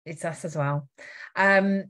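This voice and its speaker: A woman's voice: on the low side, slow. Chatty Welsh woman hosting a live stream. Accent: faint Welsh. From Wales. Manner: chatty, lively, reads out viewer comments with little laughs. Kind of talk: casual live-stream chat.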